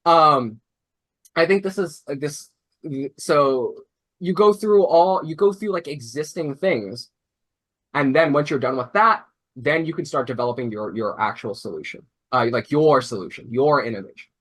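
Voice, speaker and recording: A man's voice: on the high side, moderate pace, a bit bright. A young man mentoring robotics teams, explaining casually on a video call, rambling with many fillers and restarts. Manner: rambling, full of "like" and "uh", self-correcting.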